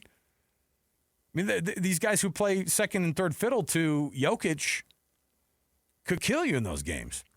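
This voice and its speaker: A man co-hosting a sports radio show with teasing, excitable, conversational banter. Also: a little high, no room sound, studio quality.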